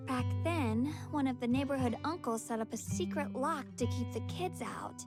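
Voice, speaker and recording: Woman voicing a high-pitched, wistful young girl. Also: normal speed, close to an everyday voice. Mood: thoughtful. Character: wistful, gentle young girl remembering her father. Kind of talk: video game story dialogue. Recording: guitar music behind the voice. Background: plucked guitar music.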